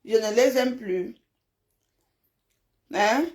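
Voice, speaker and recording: A woman speaks to viewers on a live stream in a fairly high voice. She takes her time, drawing her points out slowly. Her delivery is blunt and scolding, and she repeats phrases for emphasis. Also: darkish tone. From francophone Africa, grew up in a village. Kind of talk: casual live stream chat, replying to viewers' comments.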